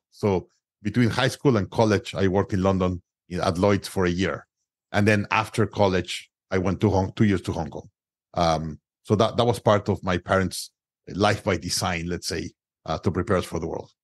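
Mexican guy, middle aged, kinda low voice, dry close mic, lots of uh and restarts. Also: no echo. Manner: non-native grammar, frequent restarts and "uh" fillers. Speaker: guest entrepreneur. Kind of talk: conversational interview storytelling.

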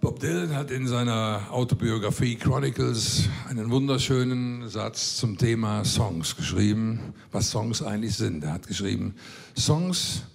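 An older man answers questions thoughtfully, pausing often to search for words. His voice sits at an ordinary middle pitch. He speaks into a handheld mic out on a rooftop. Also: gravelly, slightly rough, no echo. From Cologne, Germany. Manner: thoughtful, searching, with frequent hesitations. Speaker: singer-songwriter. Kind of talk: reflective interview answers. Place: rooftop terrace outdoors. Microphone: handheld interview mic.

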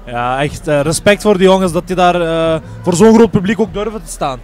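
A man's voice: high-pitched, brisk, a little mellow. A man giving live kickboxing commentary as a fight commentator. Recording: noisy event hall ambience.

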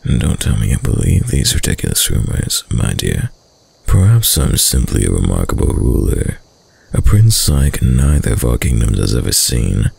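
A man performs a possessive, seductive prince of dark reputation for a roleplay audio drama. The voice is extremely deep and thick with gravel, breathy and husky. He speaks slowly and suavely, drawing out his words with hushed, dominant control. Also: big pitch swings, exaggerated voice. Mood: smooth, coaxing. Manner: slow, hushed, suave, deliberate. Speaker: voice actor.

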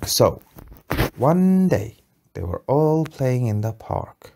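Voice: mid-range voice, big pitch swings, slow, creaky, noisy background. An adult telling an improvised bedtime story to a child, patiently and in simple phrases.

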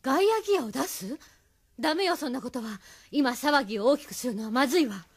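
An actress playing a sharp, cautious, determined young resistance agent in an anime audio drama. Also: female voice, sits high, animated, a little fast, breathy edge. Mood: firm, cautioning.

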